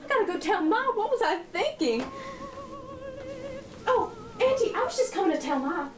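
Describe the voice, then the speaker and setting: A woman's voice: very high voice, very animated, normal speed. A woman acting a spirited, devoted teenage daughter in a 1940s holiday radio play, earnest and emotional. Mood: overjoyed, excited. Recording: roomy, distant, music underneath.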